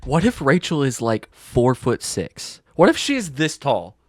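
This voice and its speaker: A young man from Maine with a Maine accent, co-hosting a casual chat podcast with excitable, laughing, animated delivery. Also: medium pitch, a little slow, dry recording, clean studio sound. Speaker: podcast co-host.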